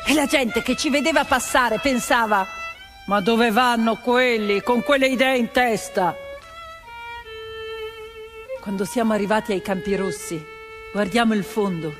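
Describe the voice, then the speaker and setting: Proud peasant wife narrator, female stage actor, high and expressive, measured theatrical delivery over music. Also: natural voice. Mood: wistful. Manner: expressive, measured storytelling, voicing quoted neighbours and relatives. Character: Italian peasant wife, proud storyteller of her menfolk's toil. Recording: music behind the voice.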